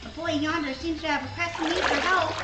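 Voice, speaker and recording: A woman's voice: high voice, fairly even intonation, brisk, lightly acted. A woman voicing a tiny, earnest, officious bug with folksy swamp dialect in a live radio play. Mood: earnest urgency. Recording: roomy live stage, quieter than others.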